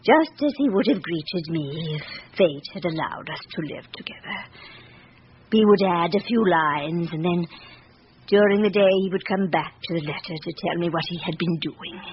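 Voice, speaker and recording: An actress playing an elderly, aristocratic French widow in a vintage radio drama, speaking formally and candidly with composure. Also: female voice, on the low side, close to an everyday voice. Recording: dull, hissy old radio broadcast.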